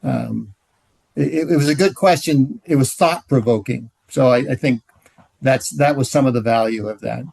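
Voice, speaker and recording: A man with a gravelly voice answers a question on a video call. He is thoughtful and halting and often restarts his sentences. His voice sounds dry and close. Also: mid-range voice, a little mellow, dry recording. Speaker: ministry leader guest.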